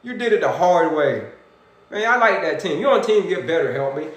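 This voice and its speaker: A man in his forties, an energetic health coach hosting a livestream, animated and repetitive as he preaches to viewers and reads chat comments. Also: fairly high pitch, brisk, a little hiss or hum. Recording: very echoey room.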